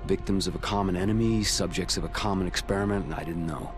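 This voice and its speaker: Low, brooding, vengeful man. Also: quick pace, over music. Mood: grim, confessional. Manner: grim, terse, understated. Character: brooding, vengeful amnesiac man, quietly menacing. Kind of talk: scripted video game narration and dialogue.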